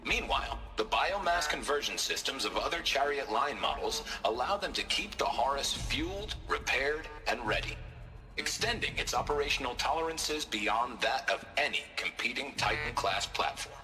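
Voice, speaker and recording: A man playing a slick, confident military hardware announcer in a video game, reading a punchy scripted sales pitch. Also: expressive, moderate pace, pushed and bright, soft background music, rough recording. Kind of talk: scripted promotional narration.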